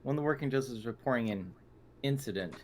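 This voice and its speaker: A man's voice: mid-range voice, expressive, natural voice. A man voicing a formal, coolly inquisitive aide in an improvised tabletop role-playing game. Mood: formal report. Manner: formal, measured, deferential. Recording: faintly noisy online voice call.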